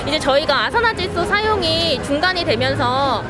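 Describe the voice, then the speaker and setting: Young woman rep, high and lively voice, polite and informative, headset mic, loud crowd and music behind. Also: normal speed. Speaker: company representative. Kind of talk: trade show interview. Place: busy indoor expo booth.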